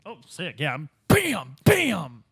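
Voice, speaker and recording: A man playing a tabletop roleplaying game, chatting and improvising in an informal, slangy, animated way. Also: high-pitched, slow pace, gravelly, slightly rough, dry recording, studio quality. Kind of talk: casual chat and improvised roleplay.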